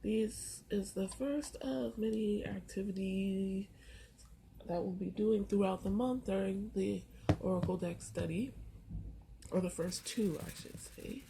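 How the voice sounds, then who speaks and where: A woman with a sore throat giving a casual, unhurried walkthrough in her apartment. She pauses often and fills gaps with soft hesitations. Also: mid-range voice, vocal fry. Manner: unhurried, halting, with many filler pauses. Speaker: tarot and oracle card content creator. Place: first-floor apartment on a busy street. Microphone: camera. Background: faint street noise.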